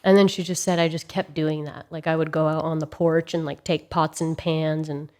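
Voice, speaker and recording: A woman's voice: deep voice, normal speed. A woman in her thirties from Russia, a guest musician, chatting casually in a studio interview and acting out the voices of people in her stories. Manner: animated storytelling, acts out quoted voices.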